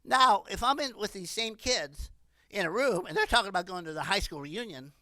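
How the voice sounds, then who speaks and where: Animated woman in her sixties doing stand-up. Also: medium pitch, a little fast, dry recording. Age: 60s. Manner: animated, rambling. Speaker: stand-up comedian. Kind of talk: stand-up comedy monologue.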